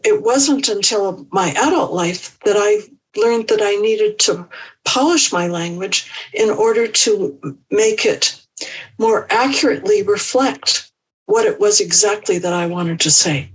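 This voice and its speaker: A woman speaking as an empowerment coach in an interview, measured and thoughtful with small restarts. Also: mid-range voice, husky, distant mic, rough recording. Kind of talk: reflective interview answers.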